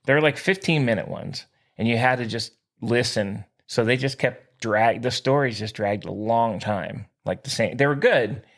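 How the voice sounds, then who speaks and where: A man hosting a podcast, bantering casually in an excitable, animated way. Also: mid-range voice, moderate pace, gravelly. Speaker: podcast host.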